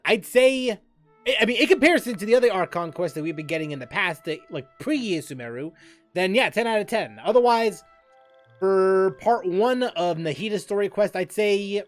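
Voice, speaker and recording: Man streaming a game, rambling musings, high and animated, game music underneath. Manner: musing, rambling, with restarts and laughs. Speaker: gaming streamer. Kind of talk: casual gameplay commentary.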